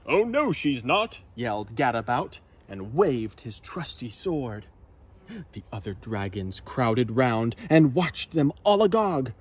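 A man in his thirties reading a children's story aloud outdoors in an expressive, dramatic manner. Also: medium pitch, takes it slowly, breathy edge. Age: 30s. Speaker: storybook reader. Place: outdoors in a garden.

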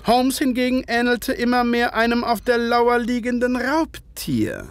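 Radio drama narrator: male Victorian doctor, observant and literary, with animated intonation. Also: very high-pitched, no room sound, full character voice. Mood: observant, measured. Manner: measured, literary storytelling. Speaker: narrator and voice actor. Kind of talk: scripted first-person story narration.

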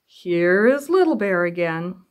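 A woman's voice: on the low side, sing-song, a little slow. A middle-aged woman reading a picture book aloud to young children at home, expressively and with questions for the listener. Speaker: library director reading storytime. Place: small room at home.

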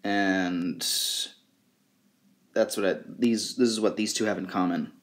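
Casual vlogger: male, fairly low voice, unscripted and slightly halting, presenting from behind the camera. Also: steady tone. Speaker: video creator showing fan merchandise. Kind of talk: casual show-and-tell vlog.

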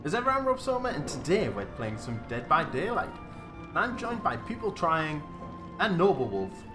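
A British man hosts a multiplayer gaming session, his high voice jumping around with excitement. He swears freely over voice chat while game music plays clearly behind him. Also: moderate pace. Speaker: host.

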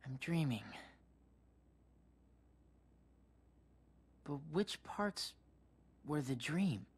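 A man's voice: a little high, lively intonation, slow, husky, full character voice. An actor playing a troubled, wistful teenage boy in a video game cutscene, with a hesitant manner. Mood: dazed.

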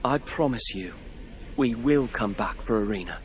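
A man playing a measured young noble lord. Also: fairly low pitch, expressive, soft background music, lightly acted. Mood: urgent, steadying. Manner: formal, measured, commanding. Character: earnest young noble lord, calm leader. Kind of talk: dramatic video game cutscene acting.

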